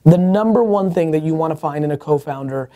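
Blunt, emphatic man with a mellow voice. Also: fairly high pitch. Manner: emphatic, fast, blunt, gestures a lot. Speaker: host answering viewer questions. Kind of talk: casual advice talk. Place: large open-plan office, indoors.

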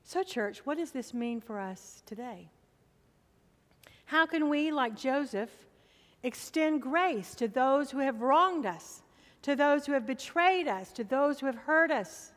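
A middle-aged woman pastor preaching a sermon from the pulpit of a church, with slow, emphatic appeals to the congregation. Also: sits high, lively intonation, fairly bright tone, long reverb tail.

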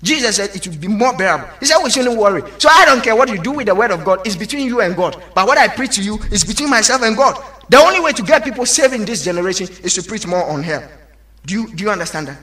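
A man's voice: sits high, bright, forceful tone. A man preaching an evangelical sermon, exhorting emphatically and putting rhetorical questions to his listeners.

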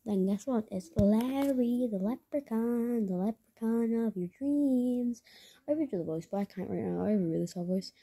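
A woman's voice: on the high side, moderate pace, vocal fry, dark tone, breathy edge. A young woman, chatting casually in her bedroom at night while showing plush toys to a handheld camera, rambling with many fillers and dropping into whispers. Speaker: vlogger.

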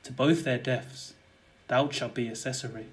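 A man's voice: mid-range voice, fairly even intonation, moderate pace, natural voice. A young man playing a scheming, murderous nobleman in a verse audio drama, wooing ardently and persuasively. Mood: fervent. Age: young adult. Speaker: student actor.

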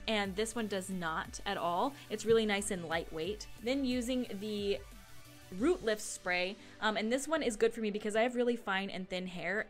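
Young woman, beauty vlogger, chatty laid-back voice-over, medium pitch, music behind. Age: young adult. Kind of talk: casual tutorial voice-over. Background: background music.

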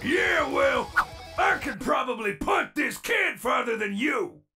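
An actor voicing a vain, hot-tempered animatronic alligator performer in an animated comedy, gravelly and given to boastful, theatrical rants. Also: high-pitched, slow, soft background music, heavily acted. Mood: boastful anger.

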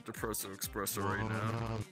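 Joking rambler in a VR hangout, mid-pitched, loud music under the voice. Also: flat delivery, moderate pace. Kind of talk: casual VR chat banter.

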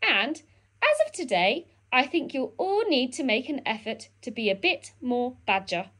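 Woman putting on a bossy, high-pitched storybook badger. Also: sing-song, a little slow, a bit bright, heavily acted. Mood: smug. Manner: emphatic, chanting, sing-song commands. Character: bossy, self-important male badger, gleefully pushy.

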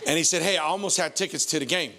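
A man in his forties with an American accent preaching an informal, animated, conversational sermon into a handheld microphone on a church stage. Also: fairly high pitch, very fast, slight background noise. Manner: conversational, animated, colloquial, repeats phrases for emphasis. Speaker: pastor.

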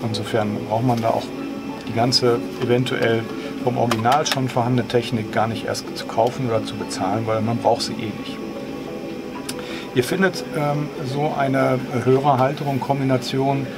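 Guy in his 50s doing a laid-back explainer vlog, normal pitch, hesitates a bit, music really loud behind. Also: level tone, moderate pace. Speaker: host of a home cockpit tutorial channel. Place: small home flight simulator cockpit, indoors.

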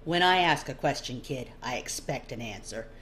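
A woman voicing a blunt giant horned warrior, low-toned. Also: animated, normal speed, fairly bright tone, a little hiss or hum. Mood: firm, commanding. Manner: firm, blunt, pointed. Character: giant horned male warrior, brash, pointed, interrogating.

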